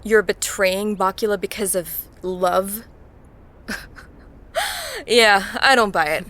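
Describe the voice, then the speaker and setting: An actress playing a bitter, defiant, petulant young adult daughter in an audio drama, sarcastic and blunt. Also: female voice, mid-range voice, fairly even intonation, slow pace, a bit bright. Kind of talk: dramatic audio fiction acting.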